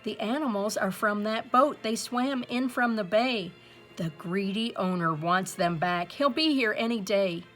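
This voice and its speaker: Storybook village messenger, earnest, urgent, plain middle-pitched delivery , read by a woman. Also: measured pace, fairly bright tone, faint music behind, lightly acted.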